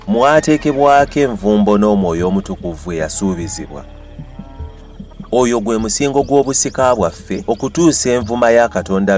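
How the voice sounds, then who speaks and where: The low Ugandan male voice of an audio Bible, reading out a chapter over faint background music. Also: a little mellow. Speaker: Bible narrator. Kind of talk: scripted scripture reading. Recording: music behind the voice.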